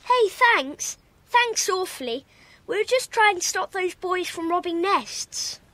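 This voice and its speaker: Casting: well-mannered, chatty British child in children's audio play, very high and lively. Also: female voice, slow pace, dry recording, exaggerated voice. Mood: polite, chatty. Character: polite, chatty child in a secret club. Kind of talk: children's audio dramatisation acting.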